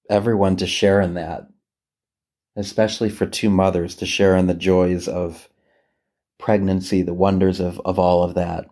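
A man speaking as a priest leading a guided scripture meditation, gentle and unhurried, with long pauses. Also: low voice.

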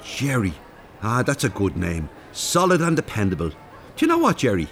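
Cheeky older Irishman, very animated. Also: lowish voice, normal speed, soft background music, full character voice. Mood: friendly, cheeky. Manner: colloquial, teasing, swears mildly. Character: chatty, cheeky older Dublin chancer, male. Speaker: actor in an audio drama. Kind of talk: radio drama dialogue.

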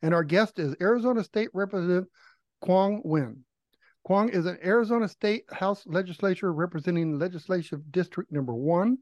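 A man's voice: sits high, brisk, a little mellow, no room sound. Man co-hosting, reading the intro. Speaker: radio show co-host.

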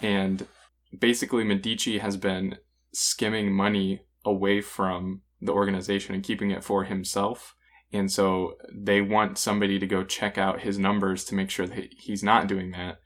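A man's voice: sits low, level tone, normal speed, fairly bright tone. A man co-hosting a podcast, giving his thoughts in casual, conversational review talk. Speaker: podcast co-host. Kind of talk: casual comic review discussion.

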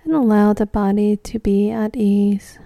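A woman leading a guided meditation in a gentle, soothing, unhurried way, leaving long pauses between short phrases. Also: mid-range voice, steady tone, vocal fry, dry recording.

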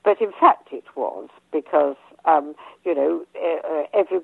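A woman's voice: sits low, animated, normal speed, vocal fry, breathy edge, no room sound. Older English woman on a phone line. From England. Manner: hesitant, many ums and restarts. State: losing her voice. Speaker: guest author. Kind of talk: casual interview talk.

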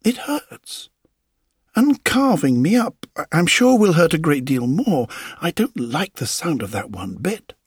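A man's voice: fairly high pitch, sing-song, a little slow, husky, dry recording, clean studio sound, voice pushed up, heavily acted. A man voicing an indignant, chatty little piece of talking cherry wood in a storybook, politely but insistently protesting. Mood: indignant, pleading.

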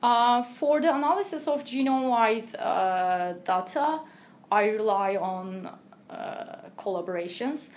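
Woman, interview guest, careful, explanatory, thoughtful pauses. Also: fairly high pitch, lively intonation. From Turkey. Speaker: guest researcher. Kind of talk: interview answers.

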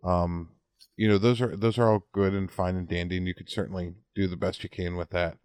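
A man in his forties from the United States with a fairly low voice, recorded dry with no room sound. He chats casually as a podcast co-host, often repeating words as he works through an anecdote. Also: flat delivery, fairly quick, a little mellow, clean tone, dry recording.

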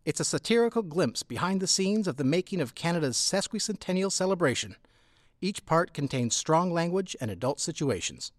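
A man's voice: fairly high pitch, very animated, a little fast, dry recording, studio quality. A man reading a scripted introduction to a series as its announcer.

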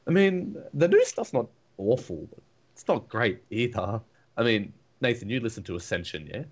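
A man's voice: medium pitch, big pitch swings, normal speed, husky, muffled recording. A man co-hosting a casual podcast chat, giving opinions with lots of "like" and "I mean". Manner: opinionated, filler-heavy. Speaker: podcast co-host.

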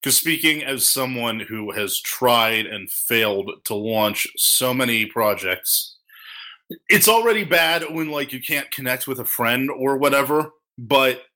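A man's voice: medium pitch, sharp tone. A man talking casually and earnestly as part of a podcast discussion. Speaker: podcast panelist.

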